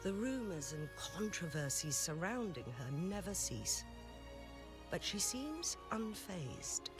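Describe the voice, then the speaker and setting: Brief: polite, formal town official, lively intonation, game NPC. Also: medium pitch, slow pace, music in the background. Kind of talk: game voice acting.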